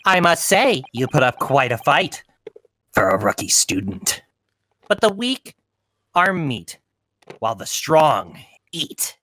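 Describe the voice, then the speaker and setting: A woman's voice: extremely low pitch, very animated, slow pace, long pauses, slight background noise, full character voice. A woman voicing a condescending, theatrical prosecutor in a fan dub of a courtroom game. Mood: smug, menacing. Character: haughty, sneering foreign prosecutor looking down on a rookie. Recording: loud music behind.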